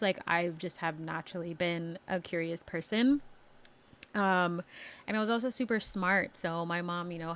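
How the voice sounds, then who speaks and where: Young woman podcast host, slightly low voice, loose and chatty, telling her own stories. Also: a bit bright. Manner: casual, chatty, laughs often, many filler words. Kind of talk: personal storytelling monologue.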